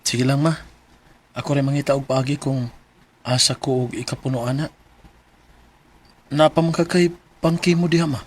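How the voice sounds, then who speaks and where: A man's voice: medium pitch, fairly even intonation, normal speed, long pauses, natural voice. A man playing an earnest, hard-up young college student in a radio drama. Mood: worried, earnest.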